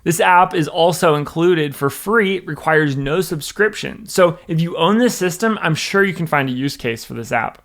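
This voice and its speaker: A man's voice: a little high, normal speed, hardly any pauses, fairly bright tone. A man with an American accent presenting a scripted product review in an instructional, methodical way. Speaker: presenter, church production director.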